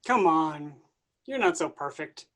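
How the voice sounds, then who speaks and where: Actor as smug, sassy comedic Jesus. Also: male voice, on the high side, lively intonation, a little slow, fairly bright tone. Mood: smug, teasing. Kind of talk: staged reading of a comic play.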